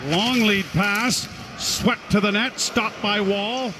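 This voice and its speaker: Male radio hockey announcer, very high voice, excitable and clipped, over noisy broadcast sound. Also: slow pace. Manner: excitable, clipped running description. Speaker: play-by-play announcer. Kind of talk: live radio hockey commentary.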